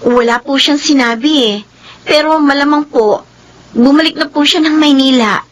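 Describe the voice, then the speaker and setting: An actress playing a polite, evasive young daughter in a Filipino radio drama. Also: female voice, high-pitched, normal speed, natural voice.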